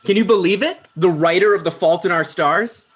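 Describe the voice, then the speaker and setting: A man's voice: sits high, moderate pace, fairly bright tone, husky. A man playing a self-important, insecure would-be talk show host, dismissive and argumentative, in a comedy table read.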